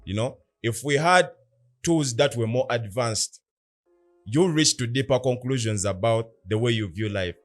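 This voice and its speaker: Earnest male podcast co-host, mid-pitched, lectures through rhetorical questions; faint music underneath. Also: a little slow. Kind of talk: casual philosophical discussion.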